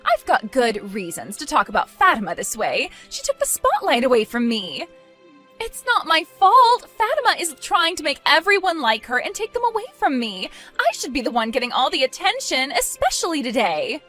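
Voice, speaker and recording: A woman's voice: a little high, wildly swooping pitch, a little fast, hardly any pauses, fairly bright tone, faint music behind. A woman voicing a spoiled, self-centred young bride in a dramatized story, petulant and pleading. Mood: whiny, self-pitying.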